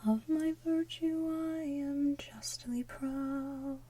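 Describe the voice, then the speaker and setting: A woman doing ASMR, singing and speaking in a soft, breathy, hushed whisper with a gentle delivery. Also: high-pitched, steady tone, extremely slow, a little hiss or hum. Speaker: ASMR channel host. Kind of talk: ASMR soft singing of animated film villain songs.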